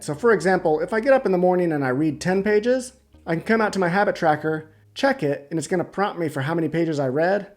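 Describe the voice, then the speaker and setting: A man presenting a step-by-step software tutorial, explaining things methodically as he goes. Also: a little high. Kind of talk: step-by-step screen tutorial narration. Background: background music.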